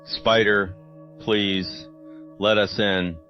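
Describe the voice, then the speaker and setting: A deep, anguished dead man, voiced by an actor pleads in a horror radio drama. He sounds tormented and begging. The recording is dull, with music behind him. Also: flat delivery, extremely slow. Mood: anguished pleading. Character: suffering, pleading undead man risen from grave.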